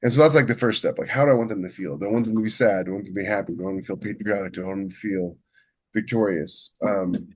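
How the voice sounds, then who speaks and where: A man chatting casually as a podcast co-host and writer, halting and restarting as he thinks aloud. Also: on the low side, slight background noise. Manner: halting, thinks aloud with many repeated false starts. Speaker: podcast co-host and science fiction author. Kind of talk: casual chat about book writing.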